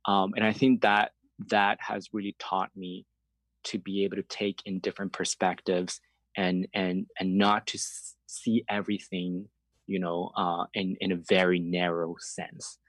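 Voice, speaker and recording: A man's voice: sits low, level tone, measured pace, fairly bright tone, no echo. A man from China, a native Chinese speaker, giving earnest, reflective interview answers as a journalist and explaining his points conversationally.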